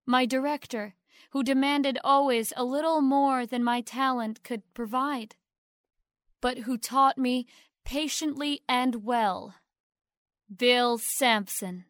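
A poised young actress in an audio drama played by a woman speaks in a high, slow voice. She is formal and demure, sweetly grateful with a calculated polish, pausing deliberately as in an acceptance speech. The sound is close and dry. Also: a bit bright, no room sound.

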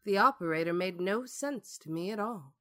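An actress playing a possessive, teasing stage star who is insecure in love, in a radio drama with first-person narration. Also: female voice, mid-range voice, animated, normal speed, pushed and bright, dry recording, studio quality. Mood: wistful narration.

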